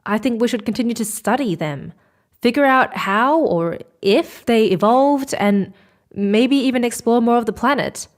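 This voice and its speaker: A woman's voice: a little high, moderate pace, lightly acted. An actress playing a guarded, grieving mother on a space mission in a sci-fi audio drama, polite and hesitant in her delivery.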